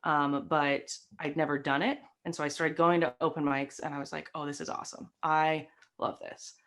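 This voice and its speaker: A woman's voice: low-pitched, level tone, moderate pace, a bit bright. A young woman with a light Southern American accent, chatting as an interview guest and telling stories with many fillers, recorded on a webcam in a decorated home room. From Southern United States. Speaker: guest, stream host and comic. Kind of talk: casual interview chat.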